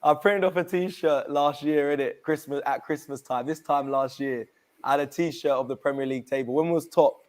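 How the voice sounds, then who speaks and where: A man's voice: a little high, moderate pace. A young man, chatting casually and laughing easily as a regular guest of a football fan channel. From England. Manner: lively, slangy, laughs easily. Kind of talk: casual chat.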